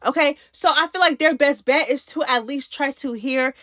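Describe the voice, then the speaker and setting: A woman with a high voice hosts a casual reality TV commentary. She talks in a sassy, slangy way straight to her viewers. The sound is very dry, with hardly any room in it. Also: normal speed, fairly bright tone, no room sound. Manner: sassy slangy talk addressing viewers as baby and girl. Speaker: YouTube host.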